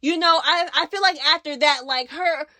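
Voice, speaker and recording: A woman's voice: high-pitched, normal speed, sharp tone, dry recording. A woman giving casual gossip commentary as a channel host, speaking in a sassy, emphatic, slangy way. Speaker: host of a reality TV recap channel.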